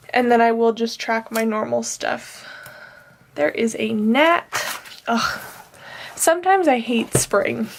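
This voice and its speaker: A young woman talks in a relaxed, rambling way, her voice slightly high with a gravelly fry at the ends of phrases. She sounds a little nasal from allergies. Faint noise and paper clicks sit under her voice. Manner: chatty, rambling with fillers. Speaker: planner vlogger. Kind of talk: casual plan-with-me chat.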